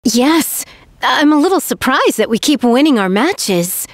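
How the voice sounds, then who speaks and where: A woman's voice: high-pitched, very animated, unhurried, no echo, clean studio sound. A woman voicing a polite, earnest young princess warrior in an anime game. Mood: warm, earnest.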